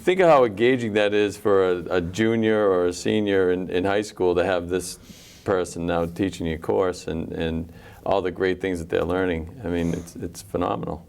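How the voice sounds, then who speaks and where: A man who works at the high school, describing a college course with halting enthusiasm. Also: fairly even intonation, creaky, a little mellow, clear, resonant tone. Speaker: high school educator guest. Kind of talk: casual chat.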